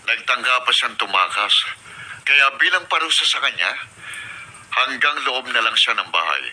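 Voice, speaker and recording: A man's voice: mid-range voice, expressive, normal speed, sharp tone. An actor playing a possessive, obsessive Filipino man in a radio drama, speaking in a domineering, intense and emotional way. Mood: threatening. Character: possessive, obsessive, controlling man named Magno, menacing lover. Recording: noisy radio drama recording.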